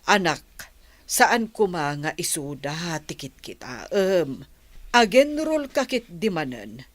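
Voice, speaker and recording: A woman playing a patient, gently admonishing middle-aged mother in an audio drama. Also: on the low side, very animated, slow, dry recording, exaggerated voice. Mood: musing, advising.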